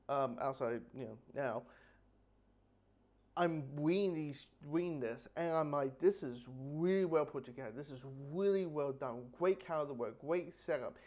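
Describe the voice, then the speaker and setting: Rambling man, slightly high voice, muffled recording. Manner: rambling, halting, repeats phrases; blunt, casually sweary. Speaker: book reviewer. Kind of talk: casual unscripted review talk.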